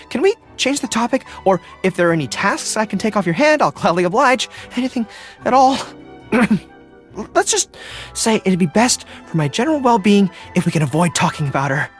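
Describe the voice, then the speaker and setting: An earnest young dog-eared general from a video game, polite and loyal, music playing behind him. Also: male voice, high voice, very animated, moderate pace, a bit bright. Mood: flustered, evasive. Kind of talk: video game character voice lines. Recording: studio voice over background music.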